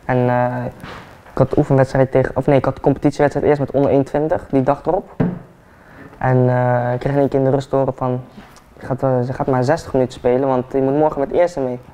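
An eighteen-year-old man, a football player, chatting relaxed and hesitant with many fillers while getting a haircut in a barbershop. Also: mid-range voice, fast, slight background noise. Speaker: football player, interview guest. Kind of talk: casual interview chat. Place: barbershop, indoors, seated in barber chair.

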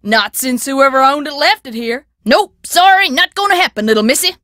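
A woman's voice: sits high, lively intonation, normal speed, bright, forceful tone, no room sound, studio quality. A woman voicing a sassy, bossy frontier shopkeeper with a drawling American country twang in a video game. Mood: suspicious, stubborn. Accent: rustic American country twang. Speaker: keeper of an old-time museum and taffy shop. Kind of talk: video game character dialogue.

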